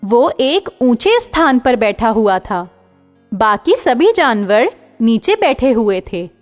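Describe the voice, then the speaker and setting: Lively, slow-paced woman narrator. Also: fairly high pitch, in a large hall, soft background music. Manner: expressive, storytelling. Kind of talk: children's story narration.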